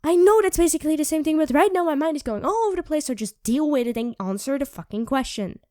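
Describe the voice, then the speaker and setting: A woman voicing a sardonic, teasing female vampire who mocks and coaxes in an ASMR roleplay, dropping into soft whispers, close to the mic. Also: high-pitched, lively intonation, quick pace, no room sound. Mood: mocking.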